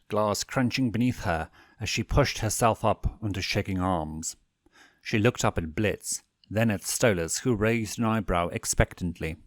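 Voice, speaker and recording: A man's voice: fairly low pitch, no echo, studio quality. A man narrating fan fiction as a scripted, dramatic audiobook reading.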